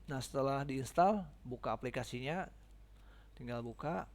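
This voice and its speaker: Man, creaky voice, medium pitch, informal step-by-step tutorial, instructor addressing listeners. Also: moderate pace. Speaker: teacher giving a software tutorial. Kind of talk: casual step-by-step screen tutorial.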